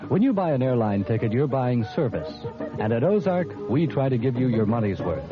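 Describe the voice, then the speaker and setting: Male announcer, medium pitch, punchy vintage commercial delivery; dull audio with music underneath. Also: sing-song, a little fast. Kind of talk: punchy scripted 1970s radio ad read. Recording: muffled old radio broadcast.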